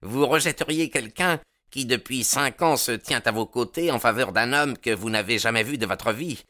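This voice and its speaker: A man voicing a furious, resentful lodge brother with a sharp, defiant manner in an audiobook story. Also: medium pitch, normal speed, pitched above the performer's own voice, natural voice. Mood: bitter, threatening.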